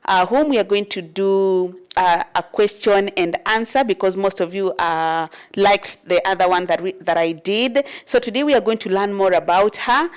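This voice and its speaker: A woman's voice: mid-range voice, moderate pace, few pauses, clear, resonant tone. A woman hosting a talk show, earnestly introducing her guest and asking questions. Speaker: host.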